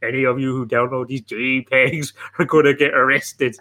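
A man's voice: mid-range voice, steady tone, normal speed, pushed and bright, natural voice. A man playing a patronising, mock-stern police detective in an improvised comic radio play. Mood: officious, stern. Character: folksy mock-stern male police detective interrogating a suspect.

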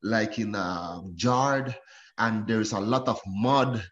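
Costa rican guy in his 30s, slow easy talk, laughs a lot, echoey dull audio. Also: lowish voice. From Costa Rica. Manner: laughs often, relaxed storytelling. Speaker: professional footballer, guest. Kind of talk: casual interview chat.

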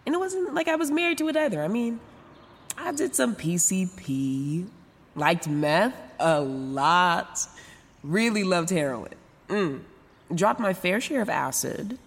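A woman's voice: on the low side, lively intonation, measured pace, breathy edge, long reverb tail, exaggerated voice. An actress playing a frank, foul-mouthed young woman, newly sober, rambling through a confessional audio drama in sarcastic slang. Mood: frank, defensive. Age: young adult. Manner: rambling, self-interrupting, sarcastic, casual slangy talk. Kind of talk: confessional first-person audio drama narration.

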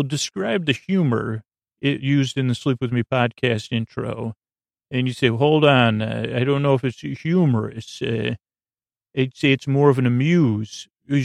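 A middle-aged man with a creaky, gravelly voice hosts a bedtime podcast, rambling haltingly and interrupting himself as he goes. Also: medium pitch, no echo, clean studio sound. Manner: meandering, halting, self-interrupting. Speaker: podcast host.

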